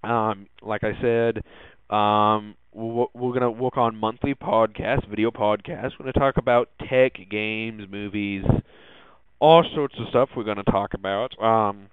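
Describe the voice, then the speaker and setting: A man hosting a podcast in a casual, hesitant way, often halting and repeating his words. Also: lowish voice, fairly even intonation, clean tone, no room sound. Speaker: podcast host. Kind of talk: casual solo tech podcast talk. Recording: muffled, phone-like narrow-band audio.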